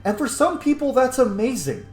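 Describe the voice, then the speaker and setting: A man giving casual game commentary as he roleplays, musing out loud with emphatic words and some swearing. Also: very high voice, big pitch swings, moderate pace. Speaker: gamer, host of a roleplay playthrough. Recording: echoey room, faint music behind. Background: faint game music.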